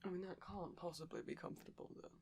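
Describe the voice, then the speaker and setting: A man playing a soft, caring vampire boyfriend in an intimate ASMR roleplay, speaking gently with pauses. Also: sits high, fairly even intonation, slight background noise, lightly acted. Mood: soothing, coaxing. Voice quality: soft, breathy.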